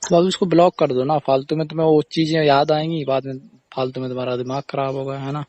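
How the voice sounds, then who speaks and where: A man's voice: mid-range voice, fairly even intonation, quick pace, darkish tone, natural voice. A man playing a reassuring, familiar friend in a casual phone-call drama, talking colloquially with frequent questioning tags. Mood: coaxing, advising. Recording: clear background noise on recording.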